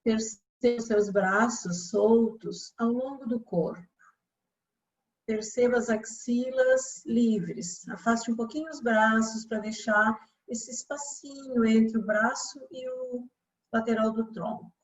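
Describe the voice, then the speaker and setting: A Brazilian woman guiding a relaxation meditation as a yoga instructor, speaking calmly and soothingly with long pauses. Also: fairly high pitch, rough recording.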